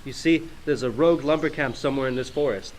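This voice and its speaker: A man playing a silly, polite, excitable Canadian police sidekick in a comic radio play, tagging sentences with "eh". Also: medium pitch, fast talker, a little hiss or hum, natural voice. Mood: chipper, polite. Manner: tags sentences with "eh".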